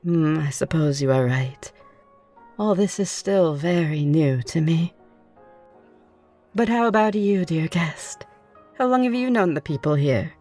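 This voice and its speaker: A woman's voice: deep voice, expressive, measured pace, long pauses, dark tone. A woman playing a curious, optimistic newly turned vampire, speaking in formal, genteel and reassuring phrases for an ASMR roleplay. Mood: curious, upbeat. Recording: music behind the voice. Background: music.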